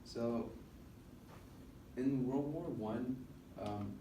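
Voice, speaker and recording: A young man from the United States giving an informal student presentation in a classroom, speaking haltingly with many filled pauses. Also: medium pitch, dark tone. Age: young adult. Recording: roomy, noisy classroom recording from a distance.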